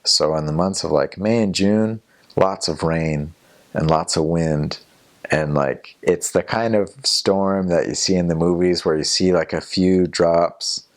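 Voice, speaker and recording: A man's voice: low-pitched, a little slow. A young man, a returned missionary, telling stories from his mission in a casual interview, rambling a little with fillers and restarts. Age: young adult.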